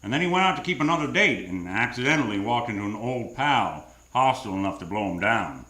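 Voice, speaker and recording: An actor playing a cool, sardonic private detective in a deadpan 1940s-style live radio drama. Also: male voice, mid-range voice, fairly quick. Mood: cool, wry. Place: theater stage. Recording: roomy live theater stage sound.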